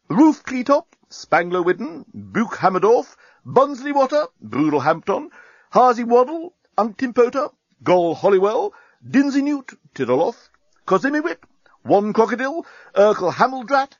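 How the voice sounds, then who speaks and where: A man voicing a pompous, excitable alien military captain in a comic sci-fi audiobook, formal, clipped and commanding. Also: high voice, no room sound, lo-fi sound, voice pushed up. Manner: clipped, commanding, self-important.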